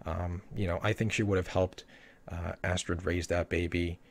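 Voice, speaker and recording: Low-voiced man rambling through a review. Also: level tone, normal speed. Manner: rambling, many fillers and hedges. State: losing his voice. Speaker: show reviewer. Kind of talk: casual solo review talk.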